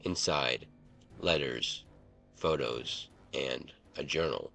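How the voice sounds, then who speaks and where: Casting: male narrator for suspense fiction, extremely low and creaky, reading slowly over faint music. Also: bright, forceful tone. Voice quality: very deep, gravelly. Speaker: storyteller. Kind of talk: scripted story narration.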